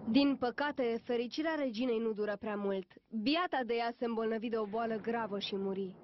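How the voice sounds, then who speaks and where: A woman's voice: on the high side, noisy background. A woman narrator reading a scripted fairy tale for a cartoon. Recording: muffled old cartoon soundtrack, music behind.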